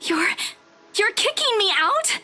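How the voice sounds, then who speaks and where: A nervy stubborn realtor woman in a vn game, high voice, really up and down, defensive. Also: big pitch swings, slow pace, bright, forceful tone, full character voice. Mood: shocked, defensive. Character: Isabella, anxious, apologetic, stubborn female estate agent. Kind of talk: visual novel voice acting. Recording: game music behind the voice.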